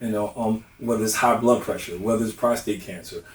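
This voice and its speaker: Middle-aged man, group talk, earnest advice, quick delivery, heard from a distance in an echoey room. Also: on the low side, fairly even intonation. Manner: earnest, advising, emphatic. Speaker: discussion participant. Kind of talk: candid group discussion. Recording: roomy, distant mic.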